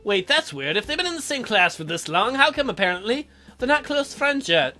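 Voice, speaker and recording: Let's play host: very animated, bright, playful read-aloud with teasing commentary. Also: medium pitch, brisk.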